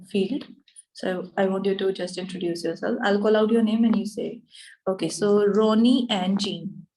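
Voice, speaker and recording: A woman's voice: mid-range voice, warm tone, rough recording. Woman teaching on a video call. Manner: halting, many fillers and restarts. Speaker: yoga anatomy teacher. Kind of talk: online class instruction.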